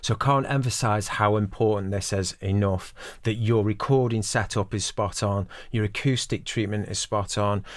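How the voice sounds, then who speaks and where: A man's voice: lowish voice, fairly even intonation, moderate pace, hardly any pauses, no echo. A man in his forties giving instructional advice as a voice-over producer, explaining things directly to the listener. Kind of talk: instructional talk to camera.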